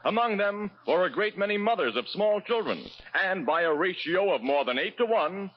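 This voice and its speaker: A muffled old radio recording of a male commercial announcer from the 1940s. His slightly high voice rises and falls in a lively way as he delivers measured, emphatic sales copy. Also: slight background noise. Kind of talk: 1940s scripted radio advertising.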